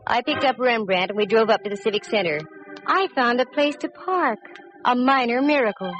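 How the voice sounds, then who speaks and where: A woman's voice: a little high, brisk, natural voice. An actress playing a witty, self-assured woman private detective in a wry, sardonic 1940s radio drama style. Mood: wry narrating. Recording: dull vintage radio recording with music.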